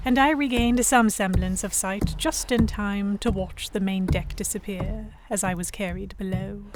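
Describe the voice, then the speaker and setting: A woman playing a young Victorian noblewoman captive, narrating her story in a measured, literary first person. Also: medium pitch, noisy background, natural voice. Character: young English noblewoman captive, reflective, stubborn, Victorian era. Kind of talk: first-person scripted narration in an audio drama.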